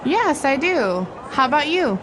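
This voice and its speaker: A woman's voice: high-pitched, sing-song, unhurried. A woman voicing a friendly local city worker in a scripted lesson dialogue, with vehicle noise behind.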